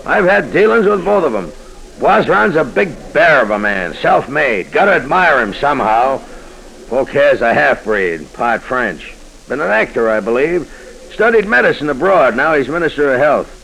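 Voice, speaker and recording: Gravelly, brash American businessman. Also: medium pitch, sing-song, normal speed, fairly bright tone. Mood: confiding, cynical. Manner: slangy, folksy, blunt. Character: brash, cynical American go-getter insurance man. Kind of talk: 1940s radio acting. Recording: faintly noisy 1940s radio recording.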